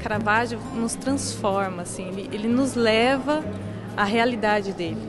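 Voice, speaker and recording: A woman's voice: medium pitch, normal speed, loud music behind the voice. A woman from Mexico, a native Spanish speaker, talking as an interviewee.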